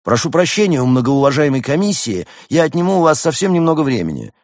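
A man's voice: a little high, big pitch swings, fast, darkish tone, no echo, clean studio sound, pitched above the performer's own voice. A man voicing a cocky, eager young male hedgehog student in a storybook, self-assured and theatrical. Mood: eager, polite. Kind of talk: acted storybook dialogue.

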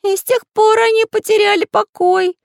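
Woman putting on a very high, squeaky little pencil-man. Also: fairly even intonation, normal speed, creaky, dry recording, clean studio sound. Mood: worried recognition. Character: living pencil artist, male, small, earnest, kindly. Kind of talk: children's storybook character acting.

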